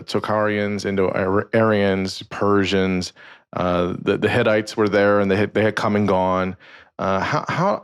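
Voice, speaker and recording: A man hosting a podcast interview, asking halting questions with many restarts and backchannels. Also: fairly low pitch. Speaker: podcast host.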